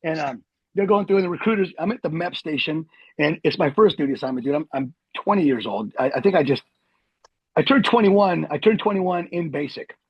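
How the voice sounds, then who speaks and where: A middle-aged man, an Army veteran, telling long rambling stories in an animated way as a podcast guest. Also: a little high, a little fast, husky, no echo, lo-fi sound. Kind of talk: storytelling interview.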